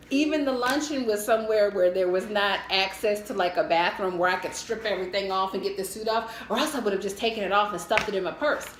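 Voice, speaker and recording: A middle-aged American woman telling a casual, humorous personal story in a candid, animated way. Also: medium pitch. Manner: animated, candid, acts out her own thoughts. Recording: echoey room with faint noise.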